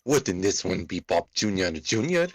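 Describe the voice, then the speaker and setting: A man's voice: deep voice, very animated, normal speed, no room sound, studio quality. A man voicing a laid-back talking cat who coolly prods his friend in an amateur comedy story. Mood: wry.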